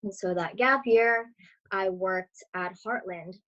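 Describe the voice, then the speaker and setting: A woman in her twenties, born in Brazil and raised in Florida, giving relaxed, chatty interview answers as a dental student over a video call. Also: medium pitch, slow pace, muffled recording. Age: 20s. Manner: chatty, relaxed, many fillers.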